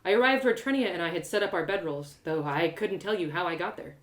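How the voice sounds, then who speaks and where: A woman storyteller giving a dramatic, deliberate first-person narration from a script. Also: on the low side, reverberant.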